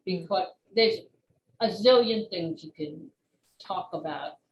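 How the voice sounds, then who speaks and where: A woman's voice: lowish voice, measured pace. A woman talking as an interview guest, an author explaining her work in careful detail. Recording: roomy echo, faint background noise.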